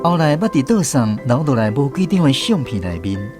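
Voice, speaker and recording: A man's voice: mid-range voice, big pitch swings, fairly quick, music in the background. A man narrating a radio drama in the first person, reminiscing like a storyteller with a Taiwanese accent.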